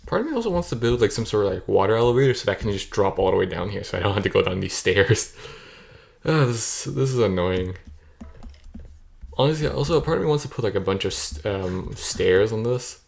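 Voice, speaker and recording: Gravelly young man, voice cracking. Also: fairly low pitch, expressive, fairly quick, darkish tone. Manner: rambling, self-correcting, talks to himself. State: voice cracking, a bit off. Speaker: gamer streaming a play session. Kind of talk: casual thinking-aloud gameplay commentary.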